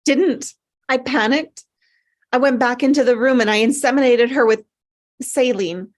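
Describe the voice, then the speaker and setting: A woman's voice: on the high side, normal speed, lightly acted. A woman playing an anxious, apologetic doctor in a script table read. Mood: anxious, confessing. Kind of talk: acted script reading.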